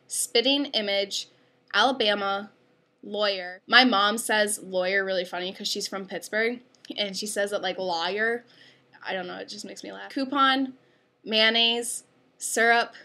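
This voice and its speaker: Young woman, upstate New York accent, bright tone, chatty and rambling vlogger read. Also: mid-range voice. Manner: chatty, rambling with many fillers. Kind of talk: casual accent tag video.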